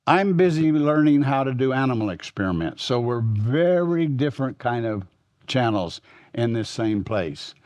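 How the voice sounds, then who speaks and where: A man's voice: mid-range voice, darkish tone, dry recording. Older Texan man reminiscing in a lecture. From Texas, United States. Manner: rambling, reminiscing, with frequent restarts and fillers. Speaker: symposium speaker. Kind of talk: historical lecture with slides.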